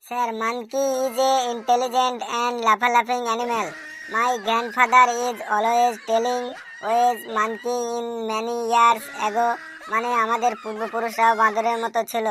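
A woman voicing a cheeky schoolchild in a comic cartoon, answering in a deadpan, literal-minded, politely impudent way. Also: fairly high pitch, slow, faint music behind, rough recording. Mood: earnest, halting. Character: cheeky schoolchild, earnest-sounding classroom smart aleck. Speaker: cartoon voice actor.

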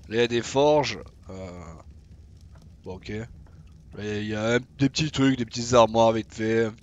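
A man's voice: mid-range voice, a little hiss or hum. A young man casually commenting on his own gameplay as he plays, chatty and swearing now and then. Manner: chatty, swears casually, talks to himself while playing. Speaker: gaming YouTuber.

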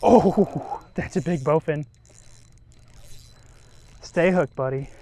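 A young man vlogging while fishing outdoors by a river, talking excitedly to viewers on an action camera. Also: fairly high pitch, takes it slowly. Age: young adult. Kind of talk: casual outdoor vlog.

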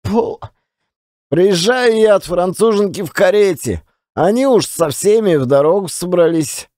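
A garrulous provincial Russian man, acted out by a male reader, spins his rueful yarn in an audiobook drama. His voice is high and very animated, with folksy colloquial turns and theatrically stretched words. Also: a little slow, dry recording, studio quality. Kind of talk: dramatized audiobook storytelling, monologue.

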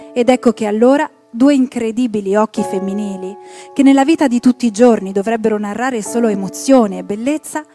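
A woman's voice: fairly high pitch, darkish tone. A woman in her thirties presenting awards, reading a prepared text into a handheld microphone. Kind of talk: scripted reading on stage. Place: theatre stage. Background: music.

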